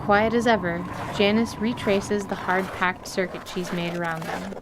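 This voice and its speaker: A woman's voice: steady tone, moderate pace. A woman reading scripted story narration as the narrator of an audio drama. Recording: noisy recording with low rumble.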